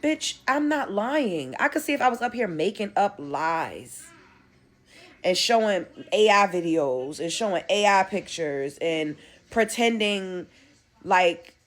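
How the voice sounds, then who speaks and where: A woman's voice: mid-range voice, animated, slow pace. A woman, ranting bluntly and profanely as an online commentator and comedian. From Brooklyn, New York. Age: 30s. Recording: roomy echo.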